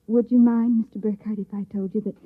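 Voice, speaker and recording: A woman plays a devoted young secretary in a vintage radio play. She is breathy and deferential, gently coaxing, her mid-range voice heard through a thin, band-limited old recording. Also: medium pitch, fairly even intonation, brisk, phone-quality sound, close to an everyday voice. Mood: tender, coaxing. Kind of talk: old-time radio drama acting.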